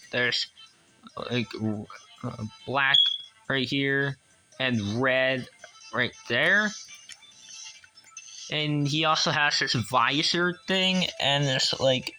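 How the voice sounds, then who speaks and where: A man giving a casual hands-on toy review as a collector, enthusiastic but halting and repetitive. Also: medium pitch, pushed and bright, low-quality recording.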